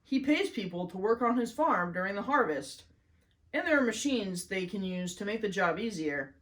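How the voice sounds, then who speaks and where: A woman's voice: medium pitch, animated, normal speed, fairly bright tone, natural voice. A woman voicing a proud, earnest farm girl explaining things in a children's storybook.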